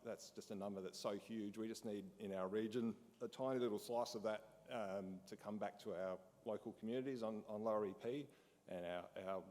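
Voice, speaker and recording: A lower-voiced man talks to a room of business people, loose and halting with plenty of fillers. His voice carries a long reverb from the hall. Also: darkish tone. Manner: casual, halting, many fillers and restarts. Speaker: local business owner speaking at a briefing. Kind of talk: informal talk to an audience.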